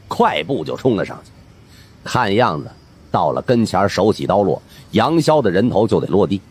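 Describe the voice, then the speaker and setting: A man with a husky voice narrating a supernatural mystery audiobook in a dramatic scripted style. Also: fairly low pitch, a little mellow.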